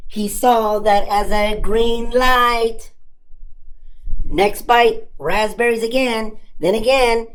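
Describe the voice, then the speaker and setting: A woman voicing a cheeky young male puppet comedian telling exasperated, self-amused stand-up stories with deadpan punchlines and dramatic exaggeration. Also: mid-range voice, lightly acted, rough recording.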